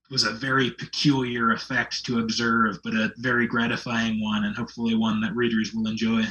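A man speaking as a literary translator in an interview, articulate and measured, with literary phrasing. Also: medium pitch, bright, forceful tone, a little hiss or hum, rough recording. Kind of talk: thoughtful interview discussion.

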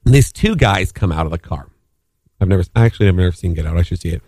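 A man's voice: deep voice, expressive, fast, creaky, darkish tone, no echo, studio quality. A man telling a long story in casual chat, rambling with lots of fillers and restarts. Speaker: podcast co-host telling a story.

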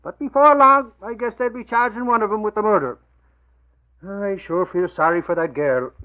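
An actor playing a folksy, excitable male reporter who talks in colloquial slang, in 1940s radio drama style. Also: high-pitched, normal speed, natural voice. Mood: earnest. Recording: dull old radio recording.

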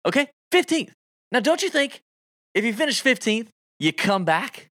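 A man co-hosting a casual golf livestream chat, talking in an animated, emphatic way. His pitch jumps and dips a lot as he presses his points. The sound is dry and close. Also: normal speed, no echo. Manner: animated, emphatic, piles on stats. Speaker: golf betting co-host.

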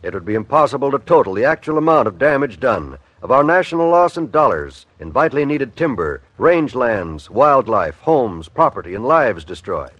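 Animated old-time radio announcer, a man. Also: mid-range voice, normal speed, few pauses, no room sound, highs cut off. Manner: earnest, formal, emphatic.

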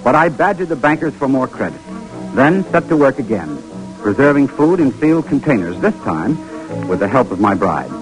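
An actor playing an earnest, struggling young husband with big ambitions, in dramatic 1940s radio style. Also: male voice, mid-range voice, sing-song, normal speed, over music, lightly acted, low-quality recording. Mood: reflective.

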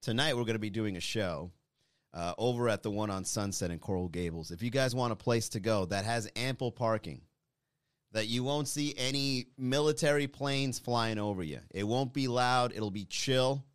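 A man's voice: mid-range voice, normal speed, smooth, no echo, studio quality. A man in his thirties, a comedian chatting casually to his online viewers in a rambling, self-deprecating way. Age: 30s. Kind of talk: casual monologue to an online audience.

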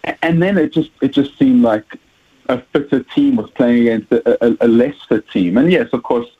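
A man's voice: medium pitch, normal speed, slightly rough. A South African man, a rugby journalist, answering interview questions with frequent hesitations and repeated words. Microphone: phone. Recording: tinny phone line.

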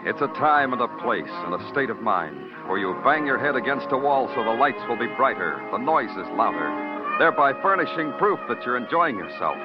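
An actor playing a world-weary, hardboiled New York police detective with a clipped, wry manner, in 1950s radio noir style. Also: male voice, mid-range voice, very animated, fairly quick, close to an everyday voice. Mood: brooding, dramatic. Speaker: narrator and lead actor. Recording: muffled old radio recording, loud music.

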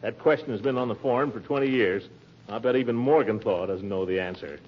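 An actor playing a pompous, easily rattled middle-aged American uncle, blustering and stammering when flustered, in 1940s radio comedy style. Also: male voice, on the low side, fairly quick, close to an everyday voice. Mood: blustering, impatient. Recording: muffled, noisy old radio recording.

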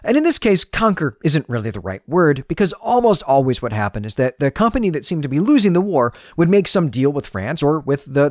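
Higher-pitched, animated man giving literary commentary. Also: no echo, clean studio sound. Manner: articulate, explanatory, lecture-like. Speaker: podcast host. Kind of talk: literary analysis talk, semi-scripted monologue.

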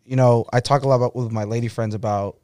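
A man in his twenties chatting casually and rambling, filling his talk with "like" and laughing loudly. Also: medium pitch, steady tone, quick pace, clear, resonant tone, no echo, studio quality. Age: 20s. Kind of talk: casual chat.

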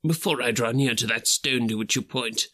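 A man voicing an old miserly gentleman who pleads in a high, strained voice. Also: normal speed, lightly acted. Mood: pleading. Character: old miserly man, terrified then overjoyed.

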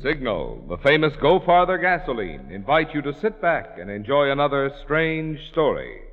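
Animated vintage radio announcer, a man. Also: medium pitch, normal speed, soft background music. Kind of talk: 1940s radio announcing, scripted and dramatic. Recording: tinny vintage radio recording.

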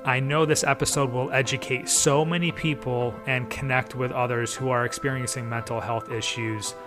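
A man hosting a podcast, casual and friendly, with many fillers and restarts. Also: medium pitch. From United States. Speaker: podcast host. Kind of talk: casual interview chat.

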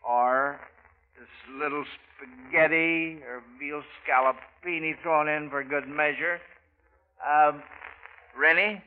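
Male American quiz host, vintage radio style, fairly high voice, chatty and hesitant, on a muffled noisy recording. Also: fairly even intonation, slow. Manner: chatty, hesitant, repeats answers back. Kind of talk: live radio quiz hosting, 1940s-50s.

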